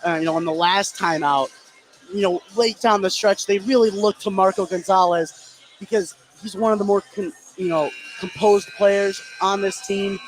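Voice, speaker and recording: A man giving conversational color commentary on a live basketball radio broadcast from a high school fieldhouse, often saying "you know". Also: fairly even intonation, normal speed, slight background noise, poor audio. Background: thumping music.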